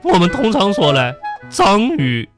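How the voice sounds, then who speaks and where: Woman as an exasperated schoolteacher, swooping theatrical pitch, folksy drawn-out particles, radio comedy, music underneath. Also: huge pitch swings. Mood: instructive. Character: exasperated scolding female schoolteacher, bossy and theatrical. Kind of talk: radio comedy sketch acting.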